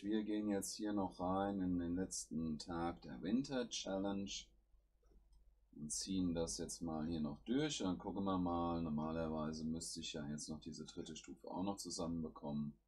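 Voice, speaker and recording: A man's voice: sits low, normal speed, a little mellow, husky. A man with a cold, hosting a gaming channel and thinking aloud in casual commentary with plenty of fillers as he plays. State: has a cold.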